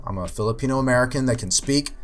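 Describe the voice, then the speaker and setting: A man's voice: mid-range voice, fast, soft background music. Earnest young man explaining faith. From Ukraine. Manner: earnest, explanatory, with many fillers. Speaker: youth minister. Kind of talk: scripted apologetics talk.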